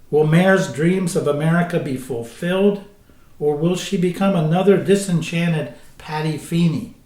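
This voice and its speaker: A man serves as announcer for an evening of plays. He reads prepared introductions in a formal, measured way, setting out scene and characters. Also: fairly high pitch, darkish tone, reverberant. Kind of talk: scripted narration.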